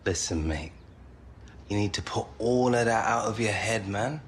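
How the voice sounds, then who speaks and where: A man's voice: lowish voice, moderate pace, a little hiss or hum, lightly acted. A man with an English accent playing a sincere, encouraging young footballer in naturalistic game dialogue. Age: young adult. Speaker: actor in a football game's story mode.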